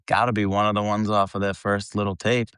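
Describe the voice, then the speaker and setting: A man's voice: on the low side, level tone, brisk, a bit bright, clear, resonant tone, dry recording, clean studio sound. An American man who sings in a metal band, chatting casually and bluntly as an interview guest, telling anecdotes in slangy, sweary language. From eastern Pennsylvania, United States. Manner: blunt, profane, informal, slangy. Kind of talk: casual chat, anecdotal storytelling.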